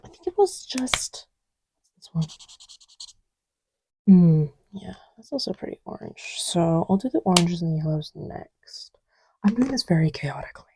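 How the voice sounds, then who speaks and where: A woman playing an affectionate, scatterbrained girlfriend in a casual roleplay audio, soft-spoken and often whispery, rambling playfully and self-deprecatingly. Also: medium pitch, slight background noise, lightly acted. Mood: teasing, affectionate. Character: affectionate, scatterbrained girlfriend testing markers on her boyfriend's lap. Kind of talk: casual, improvised-sounding girlfriend roleplay audio with ASMR feel.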